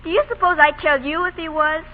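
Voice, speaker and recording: A woman's voice: high voice, steady tone, fairly quick, lightly acted. A young female performer playing a spunky, devoted little girl in a vintage radio drama. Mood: defiant, spunky. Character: spunky, defiant little Southern girl, devoted to her father. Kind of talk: 1930s-40s radio drama acting. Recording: tinny, band-limited old radio broadcast.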